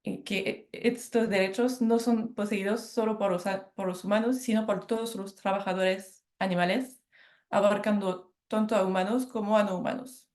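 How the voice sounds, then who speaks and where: A French woman speaking Spanish with a French accent, giving a measured academic presentation and correcting herself on harder words. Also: mid-range voice, steady tone, darkish tone. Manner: measured, careful, with self-corrections on hard words. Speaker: lecturer.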